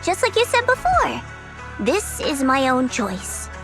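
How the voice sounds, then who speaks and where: Guarded anime game character: proud, curt, high animated voice, unhurried, faint music bed. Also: female voice, full character voice. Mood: reserved. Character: proud, easily embarrassed, guarded young woman. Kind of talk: anime-style game dialogue.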